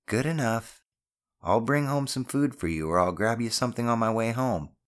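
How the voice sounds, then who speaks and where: A man voicing a caring, gently stern and slightly bashful mother in an audiobook, chiding with affection. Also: mid-range voice, expressive, fairly quick, voice pushed up, lightly acted. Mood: warm, caring. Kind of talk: audiobook character dialogue.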